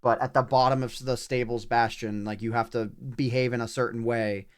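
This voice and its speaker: A man's voice: mid-range voice, little pitch movement, normal speed. A man livestreaming a video game with casual commentary, thinking aloud in short, broken-up remarks as he plays. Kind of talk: casual live gameplay commentary. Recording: clear background noise, clicking.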